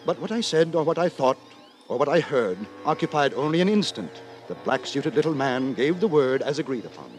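A man playing a pompous, wry gentleman narrator in a 1950s radio drama, telling his tale with ornate, mock-solemn deliberation. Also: mid-range voice, expressive, fairly quick, close to an everyday voice. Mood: wry, astonished. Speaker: narrator and lead actor. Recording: dry 1950s radio with music.

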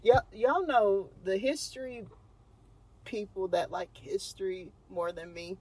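A woman's voice: medium pitch, measured pace, darkish tone, slight background noise, rough recording. A young woman with a Texan accent, casually telling a true crime story as a podcast co-host, laughing often. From Texas. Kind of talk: casual chat.

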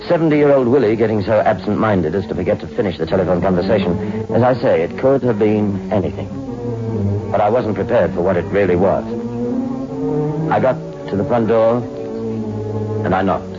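Man playing a low-voiced young reporter. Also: brisk, pauses often, music in the background, natural voice. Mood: brooding, suspenseful. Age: young adult. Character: young, eager male London crime reporter. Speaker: lead actor and narrator. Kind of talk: 1960s radio suspense acting. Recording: muffled old radio recording.

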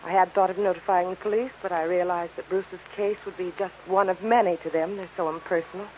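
A woman's voice: fairly low pitch, fairly even intonation, fast, natural voice. An actress playing a refined, anxious young woman, polite and guarded, in a 1950s radio drama. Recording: tinny, noisy old radio recording.

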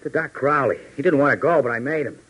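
A rough, loyal sidekick in a 1940s radio adventure. The voice is husky and the talk slangy and hot-tempered. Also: male voice, medium pitch, quick pace, a bit bright, rough recording. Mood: grumbling. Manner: slangy, ungrammatical tough-guy talk.

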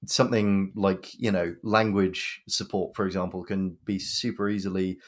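A man co-hosting a conversation who adds quick, enthusiastic remarks. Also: sits low, fairly even intonation. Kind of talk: casual chat.

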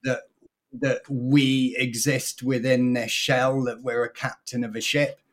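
A man's voice: mid-range voice, flat delivery, unhurried, crowd noise. A man talking as a guest in a casual podcast chat, starting hesitantly and then explaining ideas through an extended image. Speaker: guest author.

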